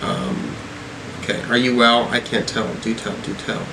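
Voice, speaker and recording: A man's voice: mid-range voice, very animated, moderate pace, vocal fry, background noise. A man giving a casual music reaction as a host, musing and thinking aloud as he talks. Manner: musing, thinking aloud while reading out lyrics.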